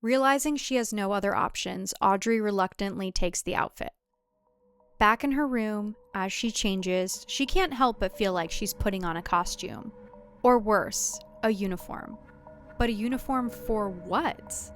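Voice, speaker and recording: A woman's voice: mid-range voice, a little slow, soft background music. A woman who is the author, reading her audio drama as scripted narration with a wry storytelling delivery.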